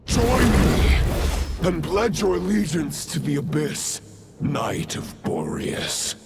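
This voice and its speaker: An actor playing a proud, grave villain knight who speaks in a formal, pompous way, with a gravelly voice. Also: male voice, fairly high pitch, huge pitch swings, takes it slowly, very noisy, heavily acted. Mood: commanding. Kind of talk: game cutscene voice acting.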